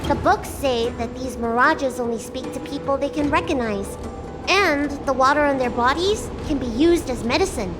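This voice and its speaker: High-voiced plucky little girl, acted by a woman. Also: moderate pace, music in the background, natural voice. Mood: curious. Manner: childlike, frank, spirited. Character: plucky, earnest little girl dragon healer. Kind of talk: fantasy game voice acting.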